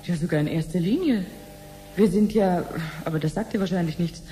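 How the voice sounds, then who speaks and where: An actress playing a friendly, patient cancer researcher in a radio drama, explaining things clearly like a teacher. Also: female voice, lowish voice, fairly quick, warm tone, slight background noise, lightly acted. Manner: didactic, clearly phrased explaining. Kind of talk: 1970s German radio drama acting.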